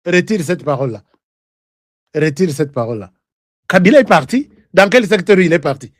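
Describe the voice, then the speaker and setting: High-voiced Congolese man, argumentative political commentator. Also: normal speed, no echo, studio quality. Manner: animated, argumentative, rhetorical questions and repetition. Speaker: online political show host. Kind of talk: live political commentary to viewers, mixing French and Lingala.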